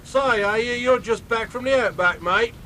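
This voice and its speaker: A man's voice: very high-pitched, moderate pace, sharp tone, a little hiss or hum. A man with a put-on Australian accent playing a laid-back pub mate who chuckles and eggs on his friend's story in a comic radio drama. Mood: easygoing banter. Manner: chuckling, easygoing pub banter.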